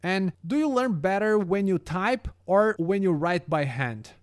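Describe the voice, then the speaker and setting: A man whose first language is Brazilian Portuguese, narrating a prepared script about learning languages in a careful, steady way. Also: sits high, a little slow.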